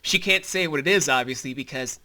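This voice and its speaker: A man's voice: fairly high pitch, a little fast, sharp tone, a little hiss or hum. A man giving casual behind-the-scenes commentary as creator and host, informal and emphatic, with some swearing.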